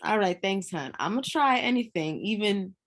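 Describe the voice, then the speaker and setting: A woman's voice: mid-range voice, lively intonation, normal speed. A woman acting a tired, easygoing character in a live dramatic script reading, casual and understated. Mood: casual, weary. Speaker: actor in a screenplay table read.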